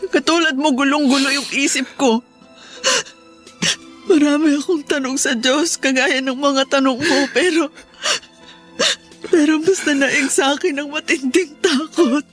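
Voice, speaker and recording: A woman acting a frightened young wife and mother in a Filipino radio drama, crying and speaking haltingly. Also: high voice, fairly bright tone, soft background music. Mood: tearful, fearful. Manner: tearful, halting, repeats phrases.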